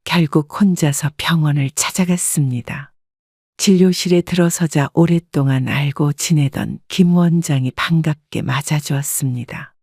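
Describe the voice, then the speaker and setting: Female audiobook narrator, even and measured, dry studio read. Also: deep voice, dry recording. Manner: steady, even-paced storytelling. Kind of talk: scripted first-person story narration.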